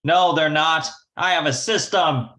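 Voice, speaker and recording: Guy doing a slangy young crook from an old radio detective show, bright punchy voice. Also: a little high, a little slow. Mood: pleading, cocky. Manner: wisecracking, slangy. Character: scheming young male gambler and crook, 1940s slang. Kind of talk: 1940s radio detective acting.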